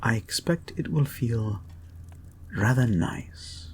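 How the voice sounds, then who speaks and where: Man acting a creepy, very slow-talking hypnotist therapist. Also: mid-range voice, animated, slight background noise. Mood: tender, clinical. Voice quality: soft, breathy, husky, often near a whisper. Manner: slow, hushed, deliberate, coaxing. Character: creepy, soothing male therapist, possessive and gently controlling hypnotist. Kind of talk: intimate hypnosis audio roleplay, guided-meditation pacing.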